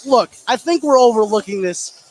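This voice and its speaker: Real high voiced guy on color commentary, hyped and casual, talking hoops. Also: animated, moderate pace, soft background music. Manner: hyped, informal. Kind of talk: live basketball analysis, casual. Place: indoor school field house.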